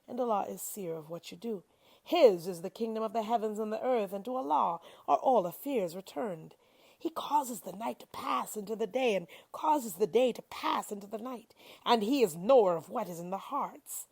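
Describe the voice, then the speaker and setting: A woman reading scripture aloud in a solemn, declamatory style, with deliberate and emphatic phrasing. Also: medium pitch, vocal fry, darkish tone, breathy edge. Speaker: reader of scripture.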